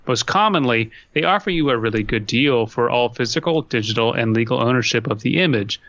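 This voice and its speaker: A man's voice: medium pitch, expressive, a little fast, fairly bright tone, no echo, dull audio. A man hosting a comedy podcast, reading aloud and bantering casually with a sardonic streak. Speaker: podcast host.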